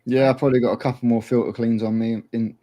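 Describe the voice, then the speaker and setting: A man co-hosting a casual chat, relaxed and rambling as he explains things step by step. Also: medium pitch, fast talker. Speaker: co-host.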